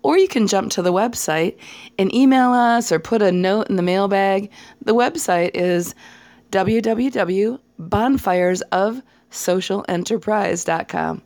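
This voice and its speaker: A woman hosting a podcast, hoarse from a cold, reading an upbeat, grateful intro. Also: medium pitch, a little slow, darkish tone. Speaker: podcast host.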